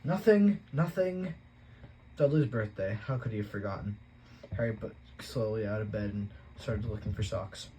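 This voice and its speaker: A man reading a novel aloud as an audiobook, in plain, steady storytelling. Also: on the low side, a little fast, a little hiss or hum, rough recording. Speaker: audiobook reader.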